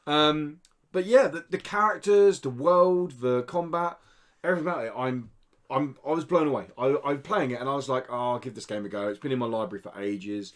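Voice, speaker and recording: A man's voice: mid-range voice, brisk. A man co-hosting a podcast, giving an enthusiastic, casual spoken review in conversation. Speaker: podcast co-host reviewing indie games. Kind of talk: casual game review chat.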